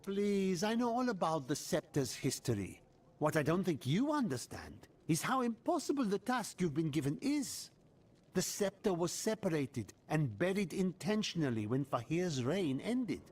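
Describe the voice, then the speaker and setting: An irritable scholar in a fantasy game, with a fairly high, husky voice that swings widely in pitch. He is curt and formal, always ready to caution reckless adventurers. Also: big pitch swings, moderate pace. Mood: exasperated. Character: irritable, scholarly male relic expert, grimly cautioning adventurers. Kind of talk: video game voice acting.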